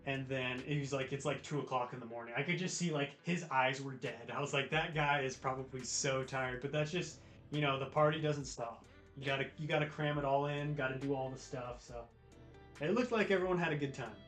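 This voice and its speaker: A man's voice: mid-range voice, brisk, fairly bright tone. American man livestreaming in an echoey room. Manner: laid-back, slangy, addresses viewers by name. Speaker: livestream host. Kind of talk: casual chat with live viewers. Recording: roomy echo with music behind. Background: music, guitar.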